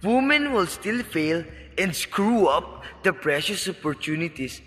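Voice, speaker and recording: A man's voice: high voice, sing-song, a little slow, a bit bright, exaggerated voice. A man playing a cold, haughty, sexist company-owning father in a radio drama, scornful and pompous, in long echoing reverb. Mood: contemptuous.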